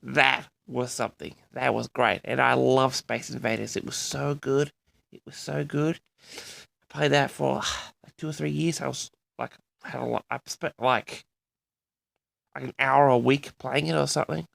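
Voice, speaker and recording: Very gravelly boastful older man. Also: mid-range voice, level tone, fairly bright tone. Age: 60s. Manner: boastful, halting, often addresses the audience. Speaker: host of an audio log. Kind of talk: rambling personal reminiscence to listeners.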